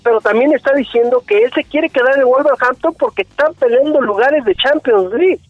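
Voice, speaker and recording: A man's voice: very high-pitched, brisk, no room sound, narrow, tinny audio. A Mexican man in his forties, chatting casually about sports on a radio show, rambling a bit with restarts. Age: 40s. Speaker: sports commentator.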